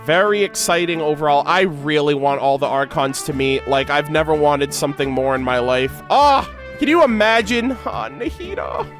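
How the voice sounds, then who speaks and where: Male gaming host, high-pitched, excitable, slangy, casual reaction commentary, faint background music. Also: normal speed.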